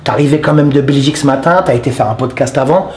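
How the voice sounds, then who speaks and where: A man hosting a casual interview, effusive and warm in his thanks, often restarting his sentences. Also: medium pitch, fast, reverberant, a little hiss or hum. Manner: effusive, repeats thanks and compliments, many restarts. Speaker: interviewer and channel host.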